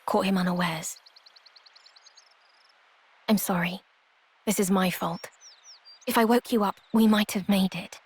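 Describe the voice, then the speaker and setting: Woman as composed game heroine, dry, articulate, medium pitch, faint ambient noise. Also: fairly even intonation, a little slow, long pauses, lightly acted. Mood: regretful. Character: composed, dryly wry young female companion who frets. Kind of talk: video game cutscene acting.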